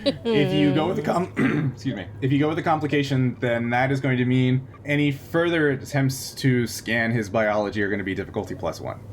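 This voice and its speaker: A man's voice: mid-range voice, a little fast. Male game master, faintly noisy recording. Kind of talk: explaining tabletop game rules, unscripted.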